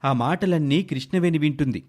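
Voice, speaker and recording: A man's voice: on the high side, a little fast, a little mellow. A man narrating a scripted moral tale as a storyteller.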